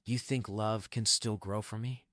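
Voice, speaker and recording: A man acts a wistful magician in a musical stage play. His voice is low and closely recorded with little room sound. He carries old grief, softened by gentle humor. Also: fairly even intonation, unhurried, dry recording, clean studio sound. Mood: tender, regretful. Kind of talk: script table read, musical play.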